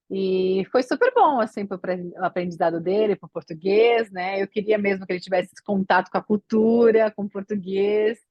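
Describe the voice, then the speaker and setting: A woman from Brazil talks in a relaxed, chatty way as a podcast guest, trailing into fillers and restarts. Her voice sits at a middling pitch. The sound is a bit dull and lo-fi, with a dry, close feel. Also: no echo. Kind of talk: casual interview chat.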